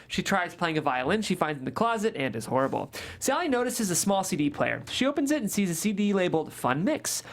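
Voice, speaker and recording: A woman reading a script's stage directions in a brisk, matter-of-fact way. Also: lowish voice. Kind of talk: scripted table read narration.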